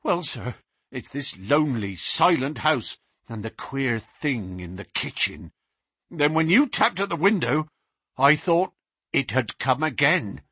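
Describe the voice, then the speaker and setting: A man voicing a terrified male police constable who speaks breathlessly in a high, rising voice. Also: big pitch swings, a little slow, a bit bright, husky, dry recording, clean studio sound, full character voice. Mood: nervous.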